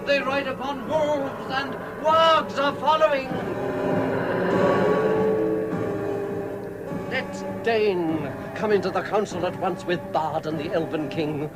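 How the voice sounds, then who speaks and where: A man's voice: high-pitched, measured pace, hardly any pauses, rough recording. A man playing a grave, commanding wizard warrior in an audio drama, declaiming with urgency. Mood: urgent. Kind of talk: radio drama acting. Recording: loud music under the voice. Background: loud music.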